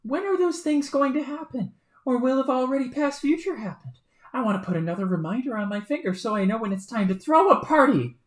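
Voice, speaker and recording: A woman shouting as a furious ranting young troll. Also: high-pitched, animated, fairly quick, pitched above the performer's own voice. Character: loud angry young male troll. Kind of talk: fan reading of a webcomic. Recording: roomy echo.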